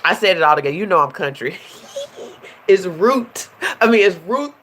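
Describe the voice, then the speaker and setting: Young woman, stuffy and nasal, a touch hoarse; chatty, animated livestream host addressing viewers. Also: on the low side, moderate pace, slight background noise. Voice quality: slightly hoarse. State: stuffy and nasal from a runny nose. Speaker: life coach hosting a livestream. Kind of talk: casual livestream chat.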